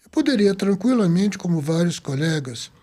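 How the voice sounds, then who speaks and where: A man in his seventies from the Azores, a doctor telling his story in an interview, lively and acting out the dialogue he recalls. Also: high-pitched, moderate pace. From Azores, Portugal. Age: 70s. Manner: lively, acts out quoted dialogue. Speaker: doctor guest.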